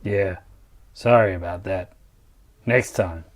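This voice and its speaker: A man's voice: sits low, slow pace. Man acting a grumbling, resentful working man. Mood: curt, reluctant. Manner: blunt, exasperated, sweary. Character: grumbling, resentful, set-in-his-ways male mine engineer. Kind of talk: dramatized dialogue.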